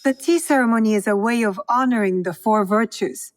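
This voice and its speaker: A woman's voice: a little high, a little fast, no echo, close to an everyday voice. An actress playing an earnest, reserved young woman in a video game, polite yet plain-spoken. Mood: humble, attentive. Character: earnest, reserved, blunt young female student of tea. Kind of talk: video game cutscene acting.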